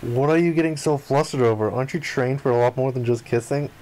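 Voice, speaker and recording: A man's voice: mid-range voice, fast, a little mellow, a little hiss or hum, low-quality recording. A man reading visual novel narration and dialogue aloud, acting out the lines as he goes. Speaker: gaming video player reading aloud.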